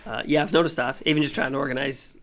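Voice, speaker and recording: Male comic guest, 30s, rapid and self-mocking. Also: mid-range voice, steady tone, a bit bright. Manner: quick, candid, self-mocking. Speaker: comedian guest. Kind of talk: casual chat.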